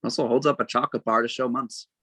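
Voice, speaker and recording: Guy reading out stage directions for a table read, measured n dramatic, dry sound no echo. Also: medium pitch, steady tone, bright, forceful tone, slightly rough. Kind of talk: scripted narration at a table read.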